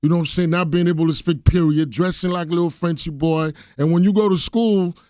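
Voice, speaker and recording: Passionate Brooklyn-accented man, pitched up. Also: brisk, clean tone, no echo, clean studio sound. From Haiti. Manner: streetwise, animated, passionate. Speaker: guest. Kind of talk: casual radio interview.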